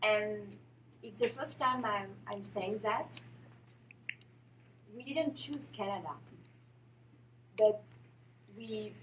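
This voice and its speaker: A woman in her thirties, a native French speaker with a French accent, giving halting personal testimony at a community event and searching for English words. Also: medium pitch, noisy background. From France. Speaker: panel speaker. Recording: echoey hall, speaker far from mic.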